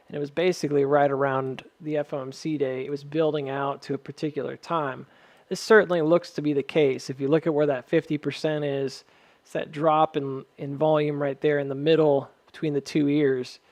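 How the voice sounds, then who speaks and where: A man's voice: fairly high pitch, darkish tone, dry recording. A man giving casual, unscripted market commentary as a host, hedging and thinking aloud as he checks in with his listeners. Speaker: host of a stock chart analysis video.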